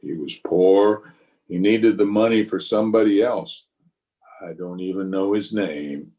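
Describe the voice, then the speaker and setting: A weary frontier lawman, played by a man in a radio western. The voice is on the low side, grave and plainspoken. He sounds burdened by conscience, with no bluster at all. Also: a little slow, mellow. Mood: grave, earnest. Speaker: actor and narrator in an amateur radio play. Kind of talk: old-time radio western acting. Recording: voice recorded over an internet call.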